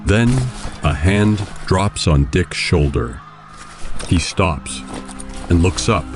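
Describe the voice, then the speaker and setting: A man's voice: low-pitched, slow pace. A man giving scripted narration for an audio drama. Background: music and crowd sounds.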